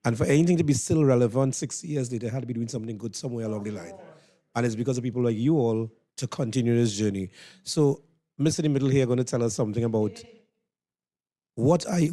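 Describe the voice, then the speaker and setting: A Trinidadian man hosts a radio interview in a conversational, encouraging style. His voice sits at a middle pitch and has a soft, mellow tone. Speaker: radio host.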